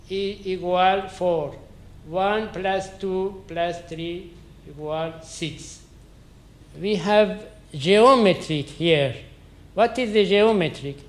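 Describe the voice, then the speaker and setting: An older man in his seventies whose first language is Persian lectures on mathematics in a fairly high voice. He speaks slowly and haltingly, with restarts while he finds the English words. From Iran. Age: 70s. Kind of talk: math lecture in a second language.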